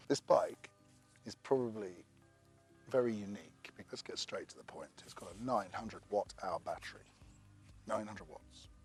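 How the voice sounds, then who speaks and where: A man's voice: on the low side, creaky, darkish tone. A man who is losing his voice, chatting casually through a bike review to camera with rambling asides.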